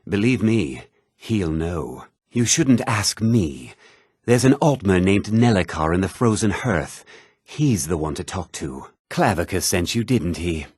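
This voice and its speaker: A man playing a self-important, proud and scheming townsman in a fantasy video game, speaking in a theatrical, formal and deliberate way with a husky voice. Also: sits low, animated, a little mellow, no room sound, clean studio sound, heavily acted. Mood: confiding, guarded. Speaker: video game character voice actor.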